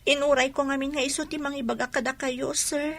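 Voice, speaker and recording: A meek woman in an Ilocano radio drama. Her high voice has an Ilocano accent. She speaks hesitantly and deferentially, as if always apologizing. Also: fairly even intonation, a little fast. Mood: meek, pleading. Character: meek, apologetic woman pleading against eviction.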